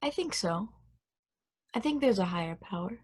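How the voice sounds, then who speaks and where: A woman's voice: medium pitch, lively intonation, normal speed, darkish tone, slightly rough. A woman playing a naive, newly awakened space probe, earnest and hesitant, in a conversational table read. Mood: curious, tentative.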